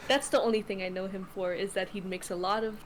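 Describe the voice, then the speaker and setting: A woman's voice: mid-range voice, steady tone, quick pace, slight background noise. A woman whose first language is Tagalog, co-hosting a stream in casual, chatty talk and laughing easily. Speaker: co-host. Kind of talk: casual chat.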